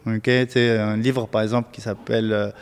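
A man's voice: on the low side, level tone, fairly quick, clear, resonant tone. A man from Tunisia, a guest mortgage broker, giving chatty advice full of fillers and stray English words. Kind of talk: casual advice talk. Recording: reverberant room.

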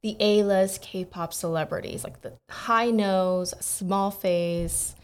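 Brief: young woman co-host, mid-pitched, chatty and animated storyteller. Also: slow, clear, resonant tone. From Korea, raised in America. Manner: animated storytelling with quoted asides. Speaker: podcast co-host. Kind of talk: casual chat.

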